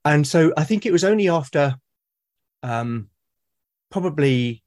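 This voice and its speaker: A man with a British accent, a podcast guest talking casually and at length, often pausing to rephrase his thoughts. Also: on the high side, lively intonation, a little mellow. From Britain. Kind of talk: casual conversation about solo roleplaying.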